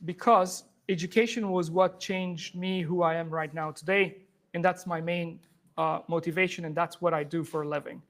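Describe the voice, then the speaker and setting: A man from Afghanistan, a software engineer, giving an informal live tech demo to a room audience on a handheld microphone, talking fast with many restarts. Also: a little high, steady tone. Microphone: handheld or clip microphone.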